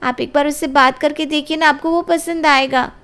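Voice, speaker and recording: Woman playing young daughter, high voice, anxious, defensive, pleading, family audio drama. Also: fairly even intonation, normal speed, a bit bright. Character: pleading, nervous college-age daughter caught with boyfriend.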